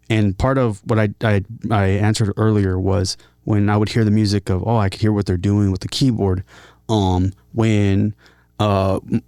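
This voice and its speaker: A man telling personal stories casually as an interview guest, in a halting, earnest way with repeated words. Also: fairly low pitch, dark tone, smooth, no echo, clean studio sound. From San Diego, California. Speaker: interview guest, talkbox musician and rapper.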